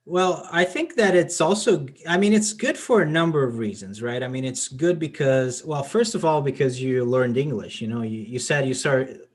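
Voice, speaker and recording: Brief: Portuguese-accented man, mid-pitched voice, chatty and conversational. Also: moderate pace, hardly any pauses. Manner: chatty, repeats words at starts, many "you know" and "I mean". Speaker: conversation partner. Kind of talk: casual conversation.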